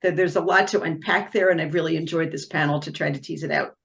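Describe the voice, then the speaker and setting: A woman with a lowish voice giving candid, thoughtful remarks as a panelist on a video call. She speaks with a wry edge and steady delivery. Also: fast talker, creaky, highs cut off. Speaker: panelist, genetics expert.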